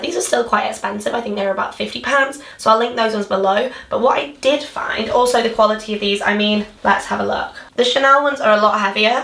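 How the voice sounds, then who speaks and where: A young woman with a husky voice, chatting to camera about fashion in a bubbly way. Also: medium pitch, fairly bright tone, roomy sound. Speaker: fashion vlogger. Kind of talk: casual chat to camera.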